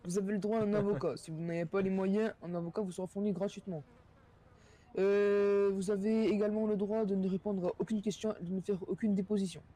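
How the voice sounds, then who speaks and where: A man improvising as a police officer in an online role-play game, speaking in a halting, formal way. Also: high voice, fairly even intonation, mellow.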